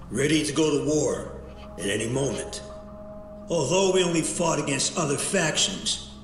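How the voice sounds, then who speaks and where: A man's voice: mid-range voice, fairly bright tone, close to an everyday voice. A man playing a fierce, solemn yakuza captain in a video game, giving a formal, weighty speech. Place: large hall, at a microphone. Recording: echoing banquet hall, faint music.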